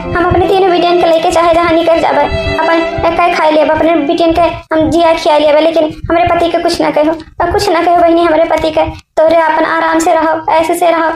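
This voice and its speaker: A woman as a plaintive, high-voiced wronged wife. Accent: eastern Uttar Pradesh. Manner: plaintive, repetitive, halting. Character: wronged, self-sacrificing elder wife, mother of three daughters. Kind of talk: village family comedy cartoon dub. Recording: echoey room sound.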